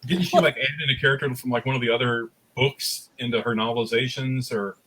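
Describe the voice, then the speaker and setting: A man's voice: medium pitch, fairly even intonation, quick pace, pushed and bright, slightly rough, poor audio. A middle-aged man telling anecdotes casually as a panelist in an online discussion. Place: remote online panel recorded at home.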